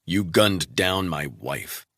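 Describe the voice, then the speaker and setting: A grim male agent, voiced by the narrator close to his own voice, cold and terse with clipped questions. The voice is low-pitched and forceful, and the recording is dry. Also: expressive, takes it slowly, no echo, exaggerated voice.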